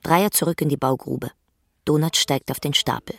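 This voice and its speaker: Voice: medium pitch, steady tone, moderate pace, gravelly, fairly bright tone. A narrator reading out the scenes and stage directions of a play in scripted narration.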